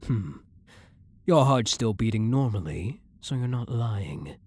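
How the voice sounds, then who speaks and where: Man playing a slow, breathy, commanding vampire hunter. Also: lowish voice, big pitch swings, a little mellow, no room sound, exaggerated voice. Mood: intrigued, suspicious. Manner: blunt, commanding, interrogating, slow and deliberate. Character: blunt, dominant centuries-old vampire who hunts vampires. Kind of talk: ASMR roleplay, intimate dramatic monologue.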